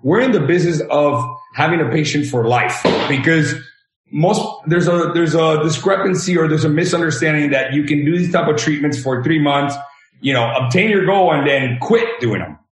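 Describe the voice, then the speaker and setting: A man's voice: fairly high pitch, normal speed, slight background noise. A man in his thirties, from Venezuela, earnestly explaining his health practice as a guest. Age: 30s. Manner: explanatory, earnest, leans on examples. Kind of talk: interview answers. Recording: roomy echo.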